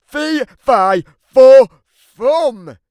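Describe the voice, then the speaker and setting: A man's voice: extremely high pitch, expressive, very slow, darkish tone, clear, resonant tone, no room sound, exaggerated voice. A man voicing a big, grumpy, always-hungry giant who tells his side of a children's storybook in a theatrical, emphatic way. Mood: booming, angry. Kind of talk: scripted children's storybook narration.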